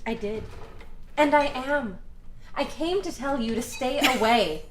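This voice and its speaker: A woman's voice: high voice, animated, measured pace, a little hiss or hum. A woman playing a guarded, defiant reformed bank robber in a western radio play, speaking curtly and firmly.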